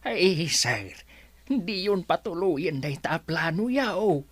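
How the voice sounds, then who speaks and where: A man's voice: sits high, animated, slow pace, fairly bright tone, no room sound, full character voice. A man with an Ilocano accent playing a timid, loyal older resort worker in a radio drama, hesitant, stammering and deferential. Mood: nervous, pleading.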